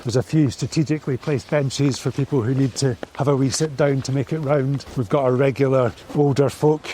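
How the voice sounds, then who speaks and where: A Scottish man with a mid-range voice talks about his work on a farm in an easy, reflective way, sometimes pausing to find his words. He is recorded outdoors, and faint traffic and ambient noise sit underneath his voice. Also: mid-range voice, fairly even intonation, mellow. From Scotland. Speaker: farm grower. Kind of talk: casual interview walking outdoors.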